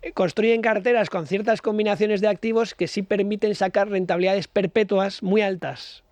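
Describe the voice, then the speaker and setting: A man's voice: high voice, fairly even intonation, moderate pace, a little mellow, dry recording. A man, a guest expert on a radio show, explaining investment ideas with many hesitant fillers. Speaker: guest financial educator. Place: radio studio.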